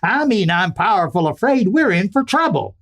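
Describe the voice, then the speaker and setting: A man's voice: high-pitched, sing-song, normal speed, fairly bright tone, heavily acted. A man playing a worried, hot-tempered rancher with a rustic cowboy drawl in a Western radio drama.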